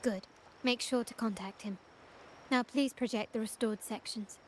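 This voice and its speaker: A woman playing a poised young noblewoman in a video game, speaking in a measured, formal, commanding way. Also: fairly high pitch, fairly even intonation, slight background noise, close to an everyday voice. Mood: composed, businesslike. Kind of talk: scripted video game character acting.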